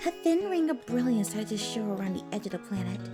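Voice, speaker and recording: A woman's voice: mid-range voice, big pitch swings, fast, music in the background. A woman narrating fan fiction expressively in the first person, as a storyteller.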